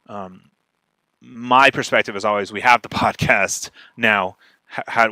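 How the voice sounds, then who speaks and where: Male podcast strategist for an informal Q&A: bright, punchy tone, emphatic delivery, very little room sound. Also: on the low side, no room sound. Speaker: podcast growth strategist and guest speaker. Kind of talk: informal question-and-answer talk.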